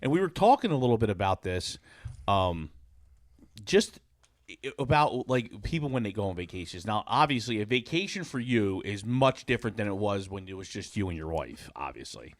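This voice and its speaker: A man's voice: fairly low pitch, sing-song, moderate pace, no echo, studio quality. A middle-aged man hosting a podcast in casual, candid conversation with some offhand swearing. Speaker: podcast host. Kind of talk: casual chat.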